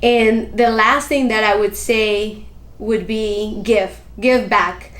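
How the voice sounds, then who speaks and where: A woman's voice: mid-range voice, fairly even intonation, takes it slowly, a bit bright. Earnest motivational woman in an echoey room. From Colombia. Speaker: guest, founder of a nonprofit. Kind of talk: interview answers.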